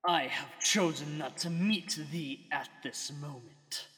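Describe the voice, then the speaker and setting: A man's voice: a little high, slow. A man playing the menacing ghost of an old lord in a radio drama, with a commanding, theatrical, archaic delivery. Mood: threatening. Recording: long hall reverb under loud music.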